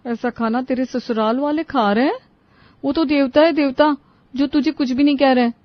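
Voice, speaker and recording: A woman's voice: sits high, moderate pace, dry recording, lo-fi sound, natural voice. A woman voicing a blunt, exasperated complainer in a comic family story, dramatic in manner. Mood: appalled.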